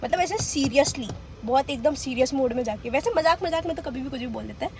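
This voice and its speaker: A woman's voice: high voice, fast talker, a little mellow, a little hiss or hum. A young woman from India bantering with her boyfriend on his vlog, playful and self-praising, laughing often. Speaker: vlogger's girlfriend. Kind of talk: casual banter.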